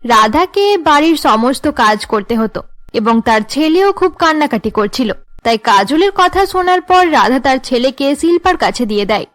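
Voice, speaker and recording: A woman narrates a cartoon family tale. Her voice is high, and she delivers the script as a storyteller setting out each scene. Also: expressive, normal speed, fairly bright tone.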